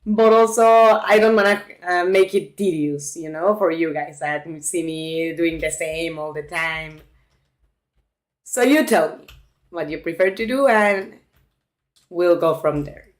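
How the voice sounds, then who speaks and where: A woman's voice: fairly low pitch, a little slow. A woman from Mexico, chatting casually with her viewers while playing a farming game. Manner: chatty, talks to her viewers, reacts aloud. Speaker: streamer. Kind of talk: casual gameplay commentary.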